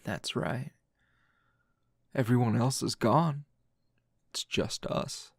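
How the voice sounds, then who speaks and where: A man playing a weary, teasing male teacher hero in an intimate ASMR roleplay, with a low gravelly voice and lingering pauses. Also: no room sound, studio quality, full character voice. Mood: teasing, seductive. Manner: slow, breathy murmuring with sighs and long pauses.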